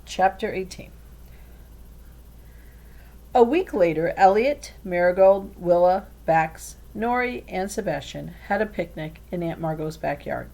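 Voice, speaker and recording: A woman reading a children's storybook aloud in an expressive way. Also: on the low side, measured pace, gravelly. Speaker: read-aloud reader.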